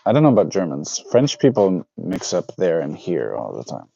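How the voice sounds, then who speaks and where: A man's voice: sits low, wildly swooping pitch, moderate pace, vocal fry, warm tone, breathy edge, no echo. A man in his thirties chatting casually with viewers on a livestream, rambling and correcting himself as he goes. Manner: rambling, self-correcting, reads out chat. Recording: dull lo-fi dry sound.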